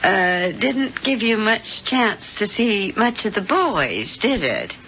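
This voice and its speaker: A woman's voice: mid-range voice, very animated, slow, bright, forceful tone, full character voice. An actress playing a folksy, motherly wife with a sinister side, cooing and homely, in a 1970s radio drama. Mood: motherly coaxing. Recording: dull, noisy old radio drama recording.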